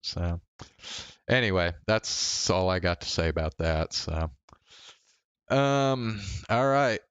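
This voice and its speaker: Slow, low-voiced man rambling on stream. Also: fairly bright tone, clear, resonant tone, no echo, lo-fi sound. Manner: hesitant, halting, with frequent stammered restarts; casually profane. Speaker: livestreamer. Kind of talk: casual rambling stream chat.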